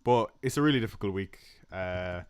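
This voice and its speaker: A young man with a Waterford Irish accent, hosting a casual fantasy football livestream, chatting with viewers and answering comments with plenty of fillers and soft laughs. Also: low-pitched, expressive, moderate pace, clear, resonant tone. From Ireland. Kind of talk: casual live-stream chat reading comments.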